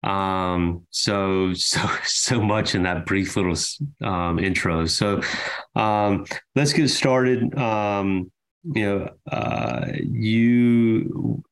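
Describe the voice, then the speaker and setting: A man hosting an interview, asking leading questions and filling his speech with many ums. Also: fairly low pitch. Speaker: interviewer, host. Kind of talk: casual interview.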